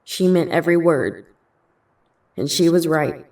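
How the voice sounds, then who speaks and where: A woman playing a firm, level-headed dance teacher in an audio drama, calm and authoritative. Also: deep voice, a little slow, far from the mic. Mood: calm, understanding.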